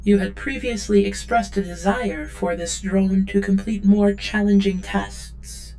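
Creaky-voiced submissive android drone. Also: female voice, medium pitch, steady tone, a little slow, mellow, slight background noise, low-quality recording. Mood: earnest, literal. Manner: formal, deferential, literal, third-person self-reference. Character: submissive, eager-to-please female android drone. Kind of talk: audio drama acting.

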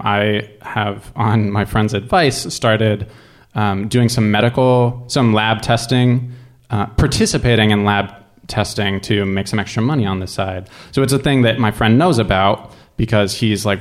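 A man's voice: on the low side, moderate pace. Rambling young man telling a story. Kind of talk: casual personal storytelling.

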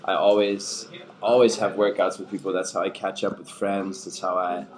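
A man in his twenties whose first language is German, answering interview questions in a casual, measured way and often repeating words while he thinks. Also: sits low, level tone, a little hiss or hum. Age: 20s. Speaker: podcast guest, fitness coach. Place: busy bar. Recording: bar crowd chatter behind.

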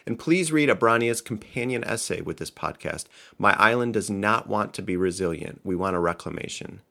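Male podcast host, low voice, scripted intro. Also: animated, moderate pace, creaky, sharp tone.

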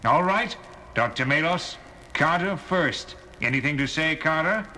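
An actor playing a cold, scheming alien leader in an audio drama, speaking with formal, precise diction. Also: male voice, on the high side, animated, a little slow, pushed and bright, a little hiss or hum. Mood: agitated. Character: cold, scheming male alien survivor leader, articulate and imperious. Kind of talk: children's audio drama record acting. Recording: vintage LP drama with faint music.

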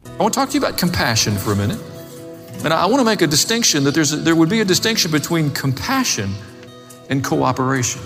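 A man's voice: fairly high pitch, very animated, fast, a bit bright, soft background music. A man preaching a sermon as a pastor, explaining scripture in a plain-spoken way, recorded in a reverberant hall. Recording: long reverb of a large hall.

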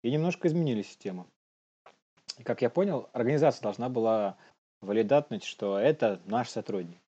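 A man's voice: mid-range voice, lively intonation, a little fast, dark tone, breathy edge. A man co-hosting a podcast, telling stories casually and reading out news items, on slightly muffled audio. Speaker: podcast co-host.

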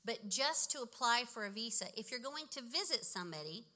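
A woman's voice: on the high side, expressive, fairly quick, bright, forceful tone. A woman in her fifties sharing a personal faith story in a chatty, laughing way, often correcting herself. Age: 50s. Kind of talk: casual testimony talk.

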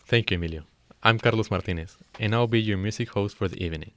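Male radio music presenter, slightly deep, scripted introductions in a close dry recording. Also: fast talker, a bit bright, no echo. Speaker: music host.

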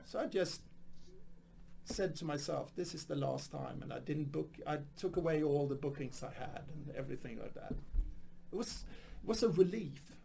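A man's voice: on the high side, lively intonation, moderate pace, gravelly, warm tone, slight background noise. A man, a comedy director and former stand-up, talking in a rambling, casual way with many restarts. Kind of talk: casual podcast conversation.

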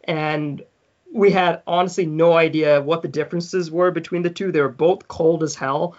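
Animated storytelling man, slightly muffled. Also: on the high side, moderate pace. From South Africa. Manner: animated, laughing often, acts out quoted people. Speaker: podcast guest. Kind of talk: casual storytelling.

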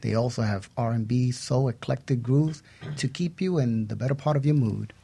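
A man's voice: medium pitch, moderate pace, gravelly, warm tone, breathy edge. A man co-hosting a radio talk show, speaking in a casual conversational style.

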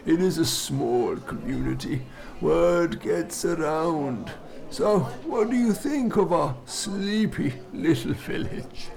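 Village reverend for a male actor: quietly sinister and knowing, very unhurried, higher voice, audio drama. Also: animated, gravelly, heavily acted. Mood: knowing, unctuous. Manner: slow, deliberate, portentous.

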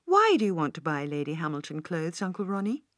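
A woman's voice: deep voice, sing-song, brisk, dry recording, clean studio sound. A woman with a British accent voicing a shrewd, poised businesswoman in an audiobook, polite but decisive. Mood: probing, agreeable.

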